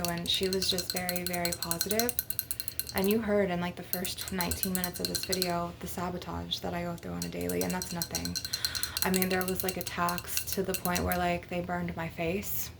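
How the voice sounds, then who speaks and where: A woman's voice: on the low side, creaky. A middle-aged woman giving a casual, rambling, sweary ASMR monologue in a blunt manner. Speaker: ASMR creator leading an energy-clearing session. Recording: noisy, clicking and tapping sounds. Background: tapping, clicking and rattling on glass or crystal.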